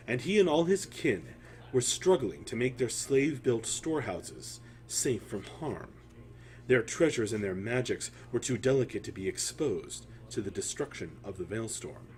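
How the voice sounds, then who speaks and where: A man telling a fantasy story in a solemn, theatrical way, with deliberate phrasing and dramatic pauses. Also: medium pitch, a little mellow.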